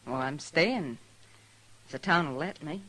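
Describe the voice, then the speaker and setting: A woman's voice: sits low, lively intonation, measured pace, fairly bright tone, exaggerated voice, low-quality recording. An actress playing a world-weary, defiant frontier woman in a radio western, plaintive but hard-edged. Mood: resigned, pleading. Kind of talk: 1950s radio western acting.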